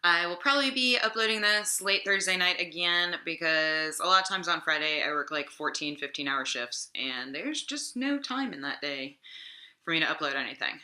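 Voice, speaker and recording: A woman's voice: lowish voice, lively intonation, moderate pace, bright, forceful tone. A young adult woman vlogger chatting casually about her week's reads, sounding a little sick and rambling with lots of fillers. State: slightly sick voice. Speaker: book vlogger. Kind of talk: casual weekly book talk. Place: home room. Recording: echoey room.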